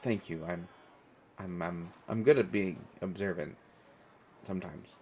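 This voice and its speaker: A man's voice: deep voice, a little slow, darkish tone, slight background noise. A man playing a stressed, self-deprecating airport stranger in a conversational audio roleplay, talking in hesitant, rambling stammers. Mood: nervous, friendly.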